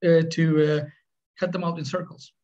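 A middle-aged man with a Swedish accent, presenting on a webinar in earnest, halting speech. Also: fairly high pitch, flat delivery, a little mellow, highs cut off. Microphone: webcam on video call.

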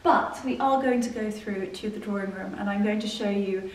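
A woman's voice: mid-range voice, moderate pace. Effusive woman, distant roomy sound. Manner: effusive, enthusiastic. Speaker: lifestyle vlogger. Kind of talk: house tour vlog presenting. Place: large rooms inside a house. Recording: roomy, distant camera mic, noisy.

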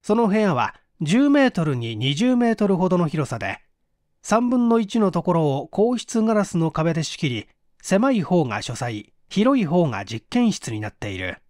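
Male storyteller for mystery audiobook: measured, expressive, wide intonation, dry recording. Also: high-pitched, no room sound. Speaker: audiobook reader. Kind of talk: scripted storytelling narration of a Japanese mystery novel.

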